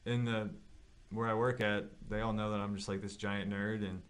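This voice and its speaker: A man in his late twenties with a fairly low voice co-hosts a casual gaming podcast. He talks like he is hanging out with a friend, rambling, doubling back on phrases and swearing mildly. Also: level tone, moderate pace. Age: late 20s. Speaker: podcast co-host. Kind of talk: casual chat between friends about games.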